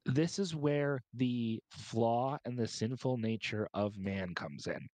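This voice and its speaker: A man's voice: medium pitch, slow, darkish tone, dry recording. A man speaking as a podcast panelist, explaining his points at length in a wordy, emphatic, step-by-step way.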